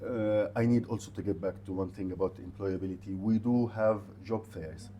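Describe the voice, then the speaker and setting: A man whose first language is Arabic, a university representative giving conversational advice to students in an interview, speaking haltingly and repeating short phrases for emphasis. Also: lowish voice, dark tone, slight background noise.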